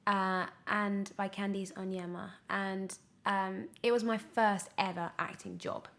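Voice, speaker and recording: A young British woman talks casually as an interview guest. Her voice sits at a medium pitch, and she speaks with emphasis, often interrupting herself mid-thought. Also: level tone, a little slow, creaky, fairly bright tone. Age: 20s. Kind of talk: casual podcast interview chat.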